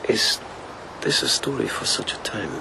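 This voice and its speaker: Radio drama: devoted lieutenant of old Japan, lowish voice, soft and measured, noticeable ambience behind. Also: male voice, creaky, noisy background. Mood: sombre storytelling. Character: gentle, wry, devoted police lieutenant of ancient Japan. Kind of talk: intimate radio drama acting.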